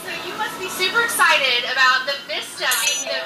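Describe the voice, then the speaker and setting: A woman's voice: sits high, animated, fairly quick. A woman in her thirties hosting a playful, gushing TV segment aboard a cruise ship, talking into a handheld reporter mic. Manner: playful, gushing, laughs a lot. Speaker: TV travel reporter. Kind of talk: bubbly on-location TV segment. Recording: echoey, distant, music underneath.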